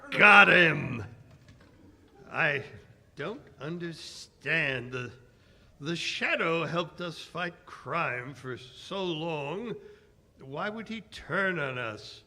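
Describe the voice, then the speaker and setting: A man plays an earnest, excitable lawman in an old radio serial. His voice is fairly high and bright, with very slow, halting delivery. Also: heavily acted. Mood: triumphant. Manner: halting, exclamatory. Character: police commissioner, excitable, baffled, earnest lawman. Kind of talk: 1930s radio drama acting.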